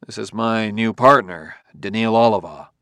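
A man voicing a terse, uneasy male detective in an audiobook, curt and low-key, sometimes mumbling. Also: moderate pace, fairly bright tone, dry recording, clean studio sound. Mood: uneasy reassurance. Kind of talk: audiobook character voice.

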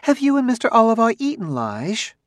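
A man voicing a nervous, worried wife in an audiobook, with a breathless, hurried, fretful manner. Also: on the high side, big pitch swings, no echo, studio quality, voice pushed up. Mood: forced cheer. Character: nervous, anxious wife, smiling politely through worry. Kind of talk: audiobook character voice.

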